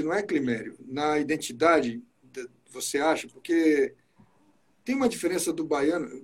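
A man's voice: on the high side, slightly rough. A man from Espírito Santo with a capixaba accent, chatting casually over a video call and often repeating words as he starts a sentence. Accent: capixaba Portuguese. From Espírito Santo, Brazil.

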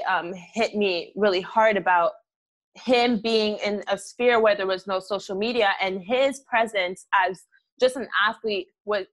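A woman in her twenties leading a casual video-call discussion, thoughtful and hedging with frequent ums. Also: mid-range voice. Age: 20s.

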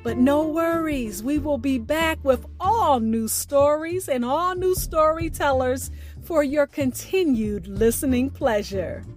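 A woman podcast host reading a scripted sign-off with deliberate, emphatic phrasing over instrumental music. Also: high voice. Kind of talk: scripted podcast outro narration. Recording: music bed behind the voice.

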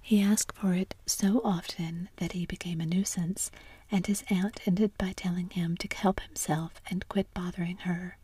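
A woman narrating a classic story in a soft whisper throughout. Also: fairly low pitch, fairly even intonation, moderate pace, husky, dry recording. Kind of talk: whispered audiobook reading.

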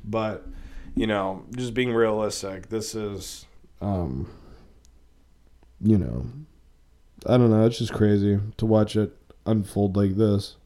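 A man's voice: lowish voice, steady tone, takes it slowly, long pauses, dark tone. A man, sick with a cold and sniffling, talking in a rambling, profane way with many fillers on his own podcast. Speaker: podcast host. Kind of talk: casual solo podcast commentary.

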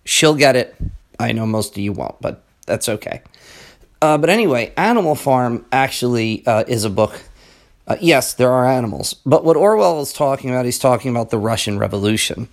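A man with a raspier voice than usual, hosting a podcast and talking earnestly through a casual monologue full of ums and asides. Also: medium pitch, expressive, normal speed. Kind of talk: casual spoken monologue on books and history.

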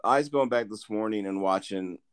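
A man's voice: fairly low pitch, brisk, smooth. A man hosting a casual sports podcast, reading off game stats with frequent fillers and restarts. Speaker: podcast host.

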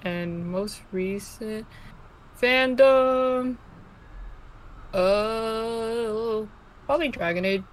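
A woman in her early twenties, co-hosting a casual podcast, speaking softly with hesitant fillers. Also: fairly high pitch, drawn-out words, long pauses, poor audio. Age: 20s. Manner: soft-spoken, hesitant, lots of fillers. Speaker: podcast co-host. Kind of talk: casual chat.

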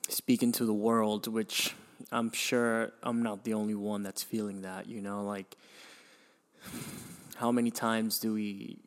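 A man's voice: fairly low pitch, flat delivery, slow, smooth. A young man from Venezuela hosting a podcast, telling his life story in a reflective, hesitant way with frequent restarts and sighs. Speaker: podcast host.

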